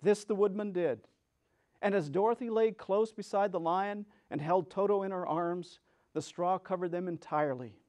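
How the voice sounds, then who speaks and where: A man with a notably high voice reads a children's story aloud. His delivery is theatrical and expressive, that of a storyteller giving a dramatic reading. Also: normal speed. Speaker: storyteller, reader.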